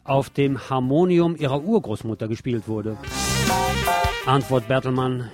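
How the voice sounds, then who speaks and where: Male radio host, middle pitch, music behind. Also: darkish tone. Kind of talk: radio presenting, reading an interview aloud. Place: radio broadcast.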